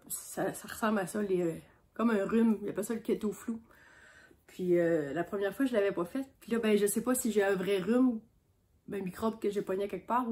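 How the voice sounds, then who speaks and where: A woman's voice: mid-range voice, darkish tone. A woman with a Québécois accent, chatting casually about her knitting while she has a cold, in a homespun, meandering way. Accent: Québécois French. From Quebec. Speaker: knitting vlogger on a sheep farm. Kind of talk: casual show-and-tell chat.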